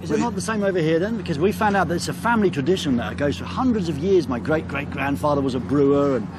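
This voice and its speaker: A man's voice: a little high, lively intonation, fast talker, noisy background. A man with a Belgian accent co-presenting with wry, teasing banter in English. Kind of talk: joking banter.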